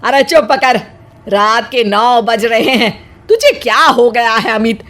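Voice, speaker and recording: A woman's voice: fairly high pitch, animated, slow pace, a bit bright. A woman playing a greedy, stern and suspicious older mother-in-law in a comic story, with theatrical scolding and exclamations. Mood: suspicious, sarcastic. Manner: scolding, exaggerated exclamations, sarcastic. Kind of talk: Hindi comic story acting.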